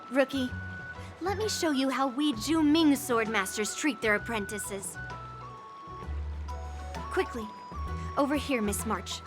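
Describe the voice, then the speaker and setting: Very high girlish voice, proud young game swordswoman, blunt, unhurried, music behind. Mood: scornful. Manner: haughty, blunt. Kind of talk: game cutscene acting.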